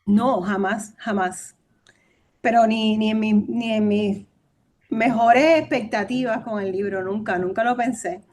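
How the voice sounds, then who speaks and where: A woman's voice: on the high side, fairly even intonation, measured pace, darkish tone. A middle-aged woman with a faded Cuban accent, a historian guest talking animatedly in a podcast interview and often repeating words as she searches for them. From Cuba. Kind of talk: conversational interview.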